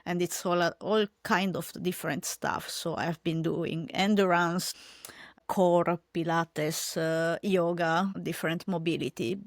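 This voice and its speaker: Italian-accented woman, halting English. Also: on the low side, fairly even intonation, no echo, studio quality. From Italy. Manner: halting, many fillers and restarts in English. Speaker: podcast guest. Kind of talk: casual interview chat.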